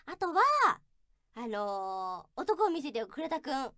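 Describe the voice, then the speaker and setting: A woman's voice: on the high side, very animated, normal speed, a bit bright, no room sound, studio quality. A woman hosting a playful chat with a guest, speaking in a bubbly, teasing manner. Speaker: voice actress hosting with a guest author.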